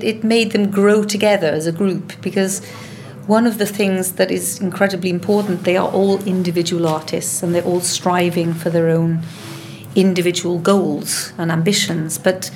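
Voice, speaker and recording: A woman's voice: on the low side, darkish tone. Enthusiastic woman coaching singers. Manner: enthusiastic, emphatic, laughs easily. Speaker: opera studio director. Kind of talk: interview and coaching talk. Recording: faint background noise.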